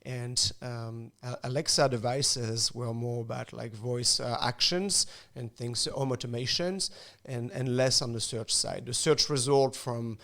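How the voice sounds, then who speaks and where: Gravelly Frenchman in interview. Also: mid-range voice, steady tone, measured pace, hardly any pauses, fairly bright tone. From France. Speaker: expert guest. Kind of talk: conversational interview answers.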